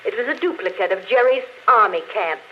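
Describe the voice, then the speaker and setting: An actress playing an unrepentant murderess confessing in an intimate, matter-of-fact way, in 1940s radio drama style. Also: female voice, mid-range voice, lively intonation, a little fast, natural voice. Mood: calm, unrepentant. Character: murderess confessing on a phonograph record, coolly unrepentant. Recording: dull, noisy old radio recording.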